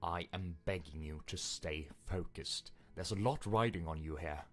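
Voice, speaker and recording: Man acting a grave game narrator, very deep, measured, ominous, commanding, music behind. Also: fairly bright tone. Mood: stern, warning.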